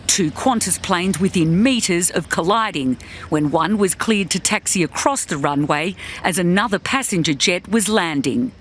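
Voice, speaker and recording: A woman's voice: fairly low pitch, normal speed, background noise. A woman with an Australian accent narrating a scripted television news report as a reporter.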